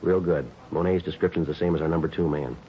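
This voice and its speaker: A man playing a terse, businesslike police detective and narrator in a 1950s radio drama, deadpan and matter-of-fact. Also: a bass voice, quick pace. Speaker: narrator and lead actor. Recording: dull old 1950s radio recording.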